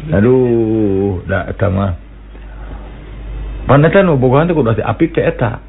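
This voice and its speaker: A Sundanese man with a Sundanese accent, telling comic folk jokes and breaking into laughter and high character voices. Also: normal speed, creaky. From West Java, Indonesia. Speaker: comic storyteller. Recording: tinny phone-like sound with a live crowd.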